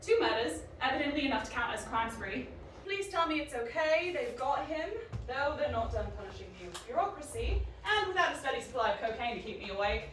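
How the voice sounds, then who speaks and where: A woman's voice: sits high, lively intonation, fairly quick, a bit bright. An actress playing a defiant, sardonic young woman, telling her own story in a wry, rambling, irreverent way in an audio drama. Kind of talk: dramatic first-person audio drama narration. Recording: echoey, distant, faint background noise.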